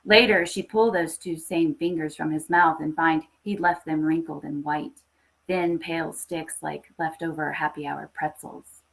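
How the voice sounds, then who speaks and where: A woman reading a literary horror short story aloud with expressive, scripted narration. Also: deep voice, normal speed, creaky, poor audio. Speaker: story reader.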